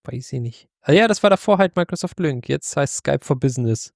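A man co-hosting a casual tech podcast, tossing in quick questions and asides. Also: a little high, animated, creaky, no echo, clean studio sound. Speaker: podcast co-host. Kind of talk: casual tech news chat.

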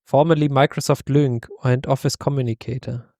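A man co-hosting a casual tech podcast, tossing in quick questions and asides. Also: mid-range voice, fairly even intonation, darkish tone, no room sound, studio quality. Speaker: podcast co-host. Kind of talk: casual tech news chat.

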